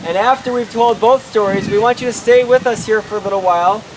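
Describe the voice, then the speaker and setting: A woman's voice: on the high side, fast. A woman presenting a children's puppet show outdoors, explaining simply and putting questions to the audience. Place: outdoors at an open-air show. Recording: very windy, noisy outdoor recording.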